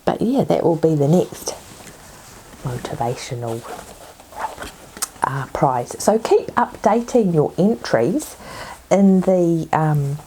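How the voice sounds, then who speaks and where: A woman with a cold and a husky voice, chatting casually as host of her own podcast. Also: deep voice, animated, slow pace, long pauses, a little hiss or hum, poor audio. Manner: chatty, rambling, soft asides. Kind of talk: casual chat. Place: home.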